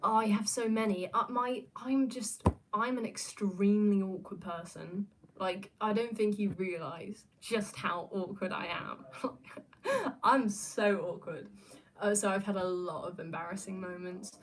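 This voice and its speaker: A woman's voice: mid-range voice, a little slow, slight background noise. Young woman, English accent, rambling casual chat, self-deprecating, lots of ums and restarts, reading viewer comments. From New Zealand.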